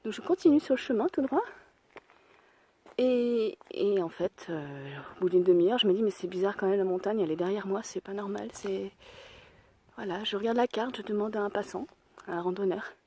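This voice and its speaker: A young woman talks to a handheld camera as she hikes a mountain trail, her voice hoarse from a cold and a little winded. Her tone is mellow and she thinks aloud with easy self-deprecation. Faint outdoor sound sits behind her. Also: mid-range voice, normal speed, slight background noise. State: out of breath. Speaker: hiker vlogging a mountain trail. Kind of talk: casual spoken travel vlog.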